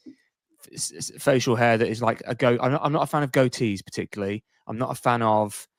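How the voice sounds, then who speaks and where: A man's voice: medium pitch, a little fast, dry recording, clean studio sound. A man chatting casually as a sports podcast guest, rambling as he thinks aloud and backtracks.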